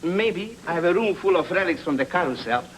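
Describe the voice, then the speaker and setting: Droll noir gentleman, humming soundtrack. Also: high-pitched, lively intonation, a little fast. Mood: wry, courteous. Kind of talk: film noir acting, old-time drama. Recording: humming, noisy old film soundtrack.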